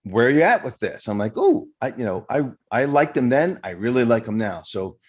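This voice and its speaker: A man's voice: mid-range voice, sing-song, a little fast. A male podcast host giving an earnest, effusive introduction and asking questions, with fillers and restarts.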